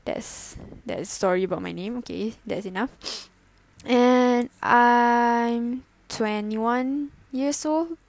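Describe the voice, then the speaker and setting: A twenty-year-old woman, introducing her first podcast in a halting, self-conscious way, full of fillers and giggles. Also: a little high, no echo. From Malaysia. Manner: hesitant, halting, with many fillers and self-corrections. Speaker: student podcaster. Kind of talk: casual solo podcast intro.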